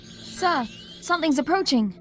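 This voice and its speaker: An actress playing an eager, deferential young military ensign in a video game, earnest and respectful. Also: female voice, high-pitched, expressive, unhurried, soft background music. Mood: alert.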